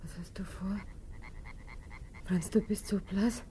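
A woman's voice: mid-range voice, steady tone, slow, dark tone, husky, in a whisper. An actress playing an uneasy young woman, wary of her lover, in short plain phrases in a radio drama. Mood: fearful. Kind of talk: literary radio drama acting.

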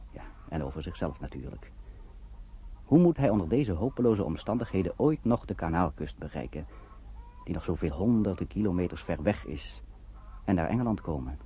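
A man's voice: low voice, very animated, moderate pace, long pauses, a little hiss or hum. A man narrating a radio play in a dramatic, scripted storytelling style. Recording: muffled, phone-like old radio recording.

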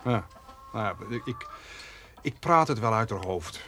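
An actor playing an exasperated but soft-hearted farmer in a radio play, flustered and stammering over repeated words. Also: male voice, on the low side, lively intonation, a little slow. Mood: uneasy, grumbling. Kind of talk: Dutch radio play acting. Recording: noisy radio play recording.

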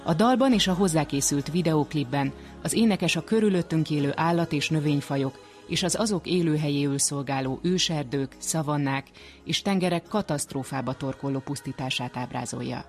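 A man presenting a scripted radio documentary as narrator and interviewer. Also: on the high side. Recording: music behind the voice. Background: music.

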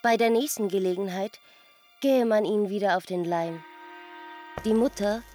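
A woman playing a wounded villager, reciting in a stylised, deliberate, drawn-out way for a literary radio play. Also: medium pitch, mellow, lightly acted. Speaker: radio drama performer. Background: prominent marimba-like mallet music.

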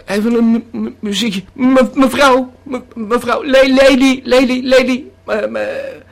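A man's voice: very high-pitched, steady tone, measured pace, gravelly, heavily acted. A man playing a rambling male tourist who is tripping on a pill, stammering and repeating his words in a radio drama monologue. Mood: dazed, alarmed.

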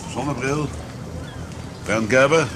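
An actor playing a controlled, middle-aged police commissioner in a radio play, with terse, measured questions. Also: male voice, medium pitch, background noise, close to an everyday voice. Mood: probing, controlled.

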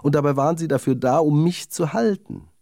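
A man's voice: a little high, brisk, mellow, dry recording, studio quality, pitched above the performer's own voice, natural voice. A man voicing a vain, haughty young lady darning needle in a children's fairy tale, proud and genteel in manner. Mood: boastful, scornful. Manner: proud, self-satisfied, genteel.

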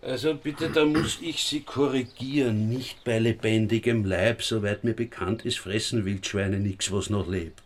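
An actor playing a sardonic, pedantic police inspector in a radio drama, with an Austrian accent and a dry, wordy delivery. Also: male voice, on the low side, fairly even intonation, a little slow, few pauses. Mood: matter-of-fact.

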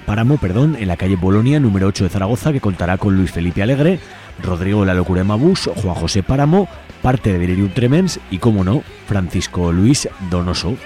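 A man reads local listings as a radio host, with music playing behind him. His voice is dark and relaxed, sitting on the low side. The delivery is informal and conversational. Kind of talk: reading community event announcements on radio.

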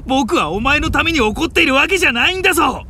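Audio drama casting: stern, easily flustered older brother, high voice, very animated, stiff scolding diction. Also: male voice, fast, a little hiss or hum, exaggerated voice. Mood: furious, panicked. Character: irritable, serious older brother, easily flustered young man. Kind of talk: anime-style audio drama acting.

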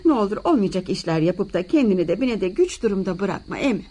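Anxious mother, highly expressive. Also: fast, husky. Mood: weary, tender. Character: anxious, long-suffering mother, pleading and exasperated. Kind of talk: Turkish radio theater acting.

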